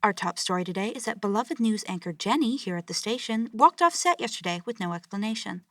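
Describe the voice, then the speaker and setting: A woman's voice: medium pitch, lively intonation, fairly quick, a bit bright, no room sound, clean studio sound. A woman playing a grave, formal news anchor delivering urgent bulletins in an audio drama. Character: grave female news anchor reporting a city's collapse.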